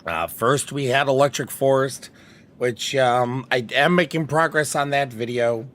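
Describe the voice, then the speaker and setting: A man hosting a live stream in a casual chatty way, his voice raspy as he recovers from a long trip. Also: mid-range voice, measured pace, a bit bright. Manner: theatrical greeting, then rambling chatty asides. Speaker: streamer host.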